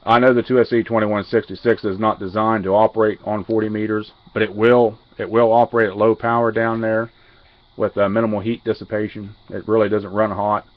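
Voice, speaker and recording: A man giving an unscripted technical walkthrough as a radio hobbyist, halting and pausing mid-sentence. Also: lowish voice, flat delivery. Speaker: amateur radio hobbyist demonstrating equipment. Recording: dull lo-fi audio, faint radio hiss.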